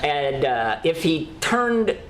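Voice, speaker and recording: Animated man co-hosting in an echoey room. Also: on the high side, takes it slowly. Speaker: co-host of a horror news show. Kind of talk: casual news chat. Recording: roomy echo with faint noise.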